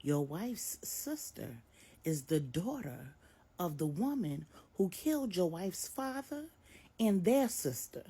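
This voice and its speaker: A knowing old mother, played by a woman storyteller, blunt and sassy. She scolds with affection in a husky voice that leaps around for emphasis. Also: on the low side, dark tone, pitched above the performer's own voice, heavily acted. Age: older.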